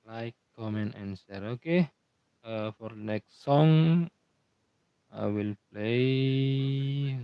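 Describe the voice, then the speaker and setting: Indonesian guy on a live stream, halting english, lots of thank yous, slow gravelly voice, dull lo-fi audio. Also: medium pitch, no echo. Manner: halting non-native English, many repeated thank-yous and fillers. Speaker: musician hosting a live stream. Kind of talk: casual live-stream chat between songs.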